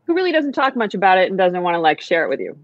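Woman, American, lowish voice, hesitant and reflective, dry close sound, slightly muffled. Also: big pitch swings, rapid-fire, dry recording. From United States. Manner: reflective, hesitant with many fillers. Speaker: guest, writer. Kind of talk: casual conversation.

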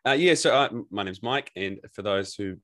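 A man with a New Zealand accent, a men's coach talking as a podcast guest in a relaxed, rambling way with many restarts. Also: lowish voice, normal speed. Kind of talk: casual podcast conversation.

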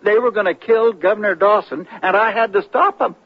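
A man's voice: high voice, fairly quick, background noise. An actor playing a flustered, earnest CB radio buff who stammers and insists his tall tale is true, in 1970s radio drama style. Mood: earnest insistence. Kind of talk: 1970s radio drama acting, recounting a story. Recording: dull 1970s radio broadcast, music behind.

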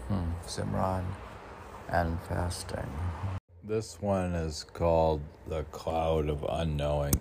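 A man's voice: low voice, level tone, slow, gravelly, mellow. A middle-aged man reading his own poetry, declaiming the verse and muttering off-the-cuff asides to himself. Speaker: poet. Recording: faint background noise.

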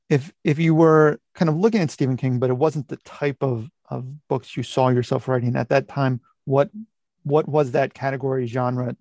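A man hosting a podcast, asking conversational questions in halting, searching phrases. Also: mid-range voice, creaky, mellow, dry recording. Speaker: podcast host.

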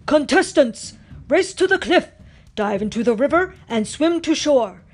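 Village chief played by a female reader: booming, commanding storybook announcer, loud and declamatory, some background noise. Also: expressive, a little slow. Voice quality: big, booming.

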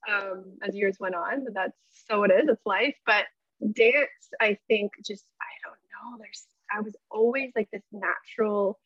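A woman guest on a podcast, talking in a bubbly, energetic way about dance and spirituality, with many "like" fillers. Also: medium pitch, unhurried, no room sound, muffled recording. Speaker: podcast guest, workshop leader. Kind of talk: casual spiritual chat.

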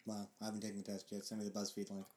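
A man's voice: lowish voice, completely flat delivery, racing, mellow. A man in his thirties co-hosting a podcast, speaking in casual chat with a dry, teasing manner. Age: 30s. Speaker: podcast co-host. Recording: roomy echo, mic set back.

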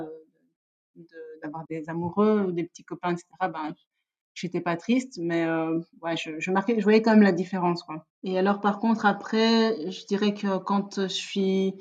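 A woman, telling personal stories casually and candidly as an interview guest, often halting to rephrase. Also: fairly low pitch, a little mellow, highs cut off. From Belgium. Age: 30s. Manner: candid, informal, halting with self-corrections.

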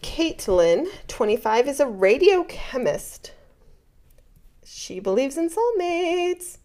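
A woman's voice: high voice, very animated, slow, a little mellow. A woman co-hosting a podcast, reading contestant bios aloud in a chatty, wry way and laughing often. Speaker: podcast co-host. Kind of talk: casual chat reading cast bios.

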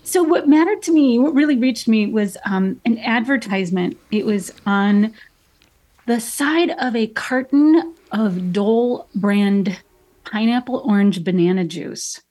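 A woman from Chicago with a slightly high voice tells a story as a guest on a radio interview. She speaks thoughtfully, with frequent ums and restarts. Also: lively intonation, a little slow, darkish tone, rough recording. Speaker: guest artist.

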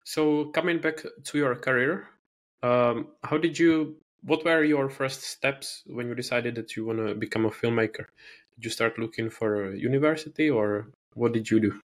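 A man's voice: mid-range voice, moderate pace. A man hosting an interview, curious and easygoing, asking follow-up questions. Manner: curious, asks follow-up questions, laughs easily. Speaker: interviewer, host. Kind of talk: casual interview.

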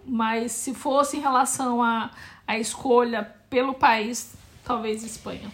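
A woman's voice: fairly high pitch, fairly even intonation, a little slow. A woman in her forties with a Brazilian accent, answering questions in a casual interview, thoughtful and hesitant, often restarting her phrases. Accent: Brazilian Portuguese. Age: 40s. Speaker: interviewee, Brazilian immigrant in Portugal.

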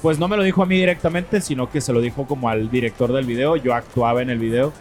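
Laid-back Mexican man, mid-pitched. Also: fast talker, faint music behind. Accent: Mexican Spanish. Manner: relaxed, slangy, defensive when teased. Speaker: podcast co-host. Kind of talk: casual chat.

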